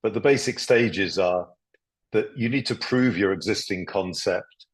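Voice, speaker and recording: A man chairing a business webinar, speaking in a relaxed, conversational way with lots of hedging words. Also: lowish voice, a little slow, husky. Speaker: company chairman. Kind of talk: webinar presentation.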